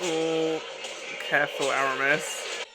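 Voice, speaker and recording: A reaction video host giving casual, excited commentary, gushing and full of slang and swearing. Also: medium pitch, slow, over loud music, rough recording. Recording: TV show audio playing behind.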